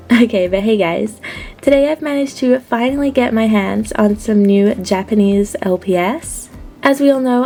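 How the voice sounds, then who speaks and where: A chatty woman in her thirties, her voice stuffy and a bit congested from a cold. She talks casually and warmly to her viewers in an upbeat way, full of "like" fillers. Her pitch sits in the middle range for a woman. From Australia. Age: 30s. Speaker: collector vlogger. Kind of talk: casual unboxing vlog.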